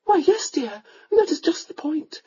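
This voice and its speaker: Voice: extremely high pitch, very animated, normal speed, mellow, pitched above the performer's own voice. A narrator voicing a meek, gushing aristocratic mother, a countess, who frets and apologizes, in a slightly muffled recording. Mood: fretful.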